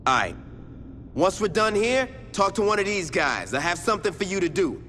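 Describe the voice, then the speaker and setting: An actor voicing a commanding, blunt, streetwise gang boss in a video game cutscene. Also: male voice, high-pitched, sing-song, normal speed, sharp tone, soft background music, full character voice. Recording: echoey hall reverb, background noise.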